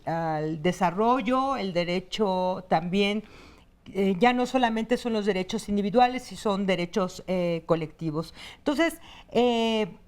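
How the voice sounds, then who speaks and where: A woman's voice: mid-range voice, clean tone. A woman, a guest on a talk show, explaining in a hesitant way with many fillers. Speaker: guest, human rights director.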